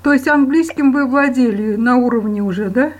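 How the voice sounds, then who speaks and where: Brisk higher-voiced woman radio host. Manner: brisk, direct questioning. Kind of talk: radio interview.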